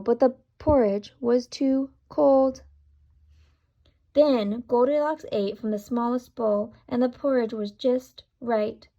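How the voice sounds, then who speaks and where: Woman storyteller, high voice, very unhurried, expressive read-aloud for kids, slightly dull recording. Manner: deliberate, dramatic emphasis, prompting questions. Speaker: storyteller for young children. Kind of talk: expressive children's read-aloud with asides to listeners.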